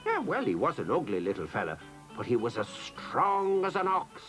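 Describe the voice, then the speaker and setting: A man's voice: medium pitch, huge pitch swings, moderate pace, a bit bright, faint music behind, poor audio. An actor playing a kindly, teasing old Irishman with an Irish accent who keeps a donkey and spins tall tales for children, in a children's drama. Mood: storytelling. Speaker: storyteller character.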